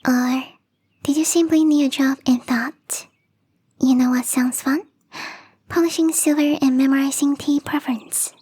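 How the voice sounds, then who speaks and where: A woman playing a shy, playful young catgirl gardener in an intimate ASMR roleplay, speaking softly and hesitantly in a breathy half-whisper. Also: high-pitched, steady tone, unhurried. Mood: curious, teasing. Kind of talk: ASMR roleplay, intimate one-sided dialogue.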